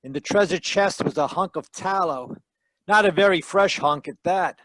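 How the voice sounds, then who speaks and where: A wisecracking, tough detective played by a man, pitched high for a gumshoe. The delivery is dry and wry, in the manner of 1940s radio drama. The sound is close and free of room echo, taken from a live video call. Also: normal speed, fairly bright tone. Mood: wry narration. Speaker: actor narrating and playing lead detective.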